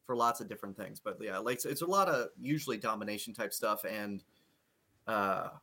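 A man co-hosting a casual podcast, joking and chuckling in a low-key way. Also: brisk, sharp tone. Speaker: podcast co-host. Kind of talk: casual chat.

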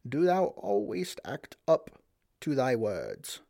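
A man voicing a dying old king and magician, speaking slowly and tenderly in a solemn storybook tone. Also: on the high side, huge pitch swings, creaky, warm tone, dry recording, studio quality, full character voice.

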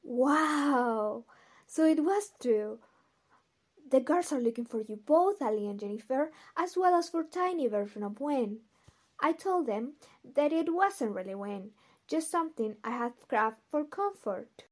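A woman playing an excitable, awestruck young fugitive in an audio drama. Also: high-pitched, measured pace. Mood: breathless excitement.

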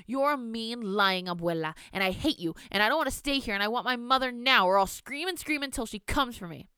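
Spoiled whiny little girl, high and clear, read by a woman narrator. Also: big pitch swings, normal speed, pushed and bright, dry recording, clean studio sound, exaggerated voice. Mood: whiny, sulky. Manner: whining, sulky.

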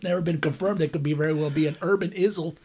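A man's voice: on the high side, fairly even intonation, fast, creaky, darkish tone, a little hiss or hum. A man co-hosting a casual comedy podcast, joking and laughing often as he chats. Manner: jokey, laughs often, keeps addressing his co-host by name. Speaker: podcast co-host.

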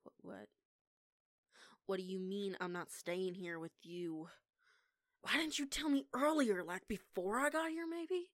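A woman's voice: mid-range voice, a bit bright, no room sound, studio quality, lightly acted. A young woman playing a defiant eighteen-year-old punk lone-wolf werewolf in an audio roleplay, with a casual, sweary, sarcastic manner. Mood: angry, flustered. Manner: sweary, casual, sarcastic, halting when flustered. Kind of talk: intimate one-sided audio roleplay acting.

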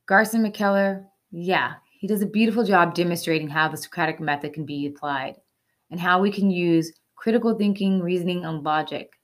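A woman with a fairly low voice presents a short educational segment. She reads scripted narration in an earnest, instructive manner. Now and then she drops in a casual aside or a rhetorical question.

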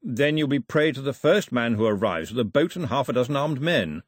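A man puts on a plummy storybook king, genial and a little pompous. The delivery swings about in pitch, with a slightly husky edge. He is a fond father who is easily bewildered. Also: lively intonation, fairly quick, no room sound, studio quality, natural voice. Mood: regal.

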